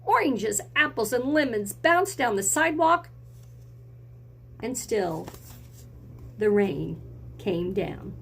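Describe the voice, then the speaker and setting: High, creaky-voiced woman reading to children. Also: slow, pauses often, slight background noise. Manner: expressive, simple child-directed phrasing with encouragement. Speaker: teacher reading a picture book to young children. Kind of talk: read-aloud lesson for children, with questions to listeners.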